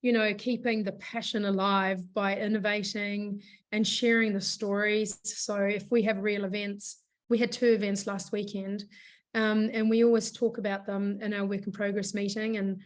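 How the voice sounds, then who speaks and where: A woman with a hoarse voice, a business founder chatting in an interview, rambling with many fillers and restarts. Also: mid-range voice, little pitch movement, normal speed. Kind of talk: casual interview chat.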